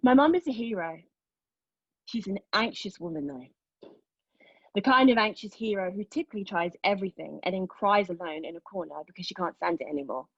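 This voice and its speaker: A husky-voiced, anguished woman, pregnant and bitterly sardonic, acted by a woman at a play reading. She speaks her monologue with raw, deliberate intensity at a middle pitch. The recording is dry and slightly dull. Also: no echo. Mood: pained, reflective. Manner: intense, deliberate, emotionally exposed delivery. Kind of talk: dramatic monologue at a table read.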